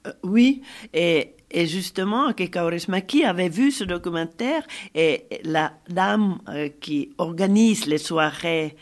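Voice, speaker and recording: A woman's voice: on the low side, slow pace. A Finnish woman speaking a second language with a Finnish accent, explaining things in an interview with frequent hesitant fillers. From Finland. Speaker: guest, film festival organizer. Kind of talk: conversational interview.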